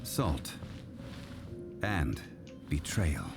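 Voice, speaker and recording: Stately old god, super low, slow and solemn, formal. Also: male voice, big pitch swings, vocal fry, music in the background, exaggerated voice. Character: ancient calm male god, stately and grave. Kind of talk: game voice acting.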